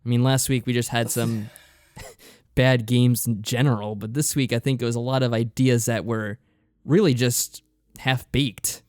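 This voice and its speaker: Low-key man, dry close sound. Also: fairly even intonation, a little slow, clean tone, no room sound. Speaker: podcast co-host. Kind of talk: casual podcast chat.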